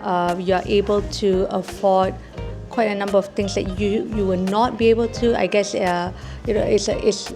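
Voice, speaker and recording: A woman answers interview questions in a hesitant way, often pausing with fillers. Her voice sits in a middle register. Clear music plays behind her. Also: mid-range voice, flat delivery. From Singapore. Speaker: interviewee, mother. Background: background music.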